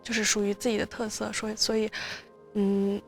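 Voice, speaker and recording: Woman, hesitant and full of fillers, flat and a bit gravelly. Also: medium pitch, brisk, soft background music. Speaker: interviewee. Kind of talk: documentary interview.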